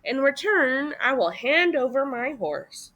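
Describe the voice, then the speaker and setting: A woman voicing a conceited, excitable male toad from a storybook, with an exclamatory, self-important manner. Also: high-pitched, unhurried, muffled recording, full character voice. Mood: haggling.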